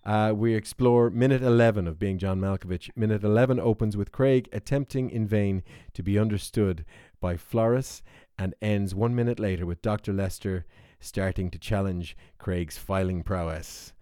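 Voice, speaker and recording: A man's voice: fairly low pitch, normal speed, few pauses, mellow, clear, resonant tone, dry recording, clean studio sound. A man hosting a podcast in a playful, jokey way, interviewing guests about a film. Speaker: podcast host. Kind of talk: casual chat.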